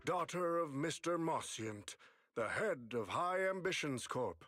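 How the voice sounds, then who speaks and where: A man's voice: on the high side, big pitch swings, unhurried, fairly bright tone, dry recording, clean studio sound, faint music behind, heavily acted. A man voicing a courteous, formal male scholar and expedition leader in an anime-style game. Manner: polite, formal.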